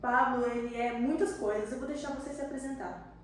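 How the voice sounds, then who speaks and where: A woman's voice: a little high, fairly even intonation, fairly quick. A woman hosting an interview, chatting in an encouraging, playful way. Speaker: host. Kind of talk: casual interview chat. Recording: echoey room, distant mic.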